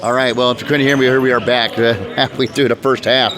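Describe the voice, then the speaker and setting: A man announcing basketball play by play in a brisk manner, calling the action as it happens. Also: mid-range voice, steady tone. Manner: brisk, talks over the action. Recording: loud crowd and bouncing balls behind. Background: gym crowd and basketball bounces.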